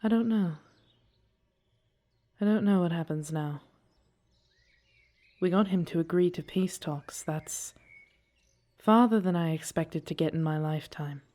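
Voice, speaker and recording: A woman's voice: lowish voice, a little slow, pauses often, mellow, lightly acted. A woman playing an earnest, devoted dragon princess and commander in an audio drama, speaking in solemn, formal phrases. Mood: relieved, hushed. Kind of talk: scripted audio roleplay drama.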